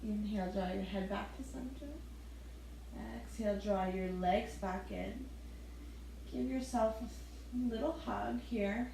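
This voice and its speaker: Woman yoga teacher talking thru a slow gentle class, soft breathing cues, little laughs, mid pitch, super echoey room. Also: creaky, a little hiss or hum. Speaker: yoga instructor.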